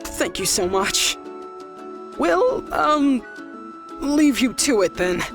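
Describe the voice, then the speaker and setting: A woman's voice: high voice, very animated, slow, full character voice. A woman playing a timid, polite young swimmer in a video game. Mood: sheepish. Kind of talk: video game character dialogue. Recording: faint music behind the voice.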